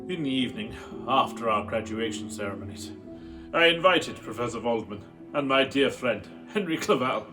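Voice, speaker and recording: A man's voice: mid-range voice, animated, normal speed, over music, close to an everyday voice. A man acting a haunted, formal scientist telling his tragic tale in a radio drama. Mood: fervent, reminiscing. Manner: formal, brooding, theatrical.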